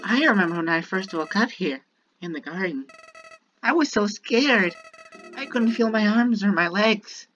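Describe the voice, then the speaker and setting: Flowey, wildly swooping talking flower. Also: female voice, mid-range voice, lively intonation, measured pace, a bit bright, muffled recording, faint music behind. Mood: sorrowful. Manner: mocking, theatrical, swinging from cutesy to menacing. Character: Flowey, small menacing talking flower, gleeful and cruel. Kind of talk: dramatic villain monologue from a game.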